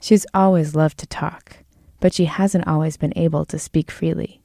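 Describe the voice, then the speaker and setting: A woman reporter narrating a radio story in a clear, explanatory way. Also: low-pitched, fairly even intonation, normal speed, warm tone, no room sound, studio quality.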